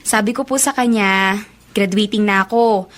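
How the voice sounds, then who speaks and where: An actress playing a polite, considerate young student daughter in a radio drama. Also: female voice, mid-range voice, moderate pace, a bit bright, close to an everyday voice. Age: young adult.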